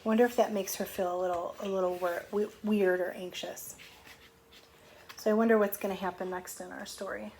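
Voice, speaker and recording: A woman's voice: medium pitch, flat delivery, a little fast, a little mellow, a little hiss or hum. A woman teacher reading a storybook aloud to young children, expressively, with conversational asides and questions.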